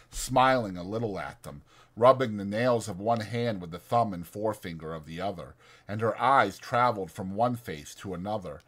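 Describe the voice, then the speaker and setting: A male audiobook narrator with a bright, forceful voice. He reads scripted fiction in a storytelling style. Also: lowish voice.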